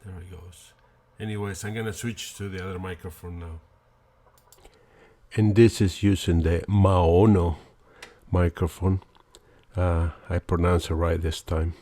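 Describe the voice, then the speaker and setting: Slow, deep-voiced man with Mexican accent. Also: fairly even intonation, mellow. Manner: rambling, dry self-mocking humor, frequent fillers. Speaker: woodworking channel host. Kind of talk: casual unboxing and product review. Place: home woodworking shop.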